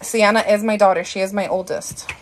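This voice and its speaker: A woman's voice: mid-range voice, steady tone, brisk, a little hiss or hum, low-quality recording. A woman, chatting casually as a live-stream host, thanking viewers and slipping into Spanish, a bit tired and out of breath. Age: 30s.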